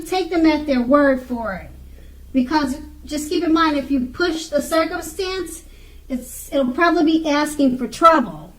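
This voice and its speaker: A woman giving an unscripted tarot card reading in a halting way, pausing and repeating her phrases. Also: high voice, a bit bright, low-quality recording. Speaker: tarot reader.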